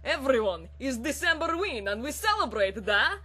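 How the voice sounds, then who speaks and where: A woman voicing a big boisterous Russian man, a heavy gunner in comic fan fiction, with loud, slow, declamatory speech. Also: sits high, sing-song, slightly rough, voice pushed up, full character voice. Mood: boisterous, announcing. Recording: faint background hum.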